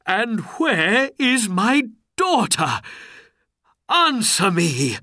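A narrator voicing an angry, imperious sultan making loud, threatening demands in a storybook. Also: medium pitch, huge pitch swings, extremely slow, dry recording, studio quality, pitched above the performer's own voice, heavily acted. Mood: furious.

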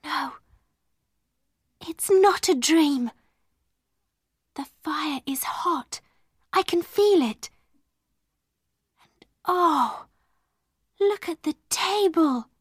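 A woman voicing a gentle, imaginative young girl in a story, earnest and full of wonder. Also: high-pitched, takes it slowly, long pauses, no room sound, studio quality, pitched above the performer's own voice. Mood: amazed.

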